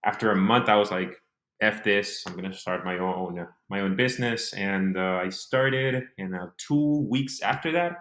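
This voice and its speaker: A man's voice: lowish voice, unhurried, fairly bright tone, reverberant. A man in his mid-twenties from Honduras talking casually as an interview guest, telling his story reflectively in short phrases. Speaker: interview guest, business owner.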